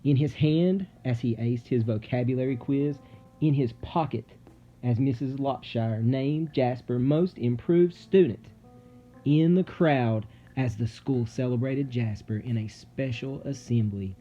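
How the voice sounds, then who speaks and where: Slow dramatic male storybook narrator. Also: mid-range voice, dark tone, lo-fi sound. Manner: theatrical, suspenseful, deliberate pauses. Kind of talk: dramatic scripted children's story read-aloud. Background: music.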